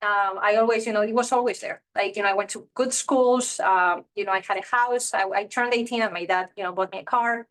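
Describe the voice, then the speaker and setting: Woman, 30s, from Spain; candid, chatty interview guest at a medium pitch. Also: steady tone, brisk, hardly any pauses, fairly bright tone. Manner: chatty and candid, heavy use of "you know" and "like". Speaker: interview guest, real estate investor. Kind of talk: casual interview chat.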